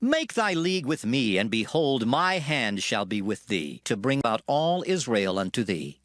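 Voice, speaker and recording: A man's voice: mid-range voice, sing-song, measured pace, a bit bright, no room sound, studio quality. A man playing a fierce, proud ancient Israelite army commander in a dramatized scripture reading, declaiming with passion. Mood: firm offering. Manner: declamatory, impassioned.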